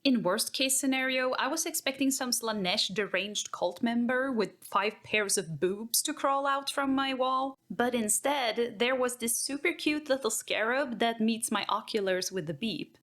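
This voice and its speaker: A woman's voice: a little high, unhurried, hardly any pauses, a bit bright. A woman playing an eccentric, curious tech-priest scholar, affectionate and a little nervous, in a sci-fi audio drama. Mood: fond storytelling.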